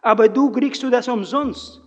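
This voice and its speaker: A man with a non-native German accent preaches with earnest, halting phrasing. His high, husky voice moves slowly through the sermon and the prayer. The sound rings in a reverberant church hall and comes through dull and lo-fi. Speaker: lay preacher. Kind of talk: sermon with personal story and prayer.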